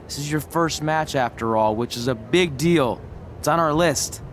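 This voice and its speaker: A man's voice: fairly high pitch, moderate pace, slight background noise, natural voice. A man playing a casual, wisecracking, supportive young wrestler friend in a video game cutscene. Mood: calming, encouraging. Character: joking, supportive young male wrestling buddy.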